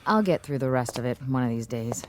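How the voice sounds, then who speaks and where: Very low-voiced, wary thoughtful woman. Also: lively intonation, a little fast, slight background noise. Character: thoughtful, uneasy female language analyst, quietly wary. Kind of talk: radio drama acting.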